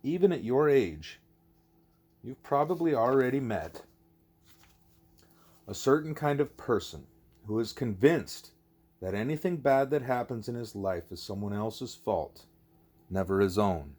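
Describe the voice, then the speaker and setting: A man voicing a wise, patient male teacher in an audiobook, speaking in a deliberate, formal, explanatory way. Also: medium pitch, expressive, darkish tone. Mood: patient, instructive. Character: wise, patient teacher creature Ishmael, male, measured and didactic.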